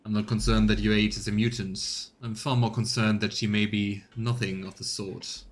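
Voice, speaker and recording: A man voicing a stern, suspicious interrogator in a video game, speaking in a measured, formal, probing way. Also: on the low side, little pitch movement, lightly acted. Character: stern, suspicious male interrogator, retainer to a lord.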